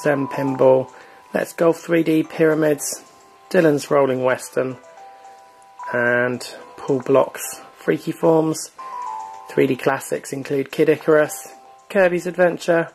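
Gravelly man with soft music. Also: fairly high pitch. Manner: relaxed, filler-heavy, chatty. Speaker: gaming channel host. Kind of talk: casual screen walkthrough.

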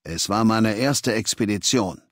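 An actor playing a cold, learned warlord dictator in a video game, speaking in an articulate, condescending, lecturing way. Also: male voice, fairly low pitch, unhurried, fairly bright tone, dry recording, studio quality. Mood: scornful, reminiscing.

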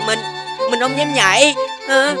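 Cheeky, highish-voiced chubby piglet. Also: female voice, unhurried. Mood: scared. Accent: southern Vietnamese. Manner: childlike, exclamatory. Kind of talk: children's cartoon dialogue. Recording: loud music behind the voice.

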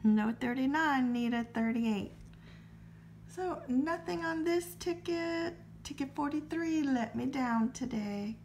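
High, slow-talking woman with scratchy throat. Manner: friendly, calmly reads out numbers. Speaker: lottery ticket scratching vlogger. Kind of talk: casual to-camera chat while scratching tickets.

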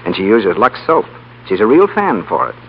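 A man's voice: on the low side, huge pitch swings, moderate pace, breathy edge. An American man working as an announcer, reading a scripted 1930s radio show and its advertising. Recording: muffled 1930s radio broadcast, faint hum.

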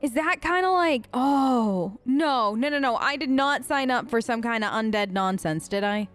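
Chatty, higher-voiced woman gamer role-playing. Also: expressive, moderate pace, no room sound, faint music behind. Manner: chatty, rambling, informal, playful. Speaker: gaming video host, role-playing her character. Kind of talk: casual improvised role-play commentary.

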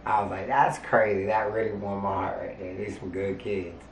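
A middle-aged man from Hawaii hosting a casual family Q&A, animated and jokey, with sudden loud bursts and quiet asides. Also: lowish voice, normal speed. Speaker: family show host. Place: indoor bedroom, on a couch. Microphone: handheld mic. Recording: echoey room, mic at a distance, faint hiss.

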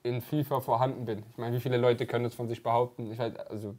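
A young man in his twenties, a football player as a guest, chatting casually and relaxed, often trailing off quietly. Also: medium pitch, fast talker. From Germany. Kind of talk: casual chat.

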